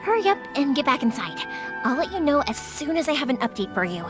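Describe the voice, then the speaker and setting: A bright, high and very lively young fireworks maker. She is upbeat, chatty and caring, and game music plays behind her. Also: fast talker. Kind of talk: video game voice acting. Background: game background music.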